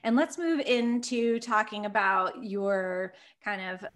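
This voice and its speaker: A woman's voice: medium pitch, fairly even intonation, takes it slowly, a bit bright. A woman in her thirties hosting a podcast, chatting casually over a video call with a headset from a small room at home, laughing often and offering encouraging interjections. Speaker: podcast host.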